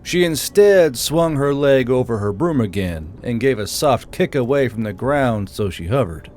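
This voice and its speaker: A man's voice: mid-range voice, lively intonation, normal speed, darkish tone. A man reading scripted fantasy story narration as the narrator. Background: background music.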